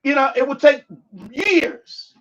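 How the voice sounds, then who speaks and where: A man's voice: extremely high pitch, slow pace, fairly bright tone, dull audio. A man live streaming casual commentary in a blunt, combative, sweary way.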